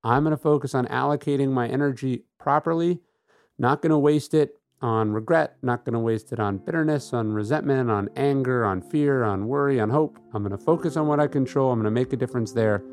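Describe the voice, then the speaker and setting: A man's voice: mid-range voice, brisk, darkish tone. A middle-aged man hosting a podcast, talking through ideas in an earnest, emphatic, conversational monologue and often checking in with "right?". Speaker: podcast host. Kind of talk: conversational reflective monologue on philosophy.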